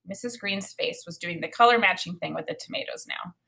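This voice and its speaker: A woman's voice: fairly low pitch, brisk, gravelly, bright, forceful tone. Woman reading a children's novel aloud expressively. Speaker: read-aloud narrator.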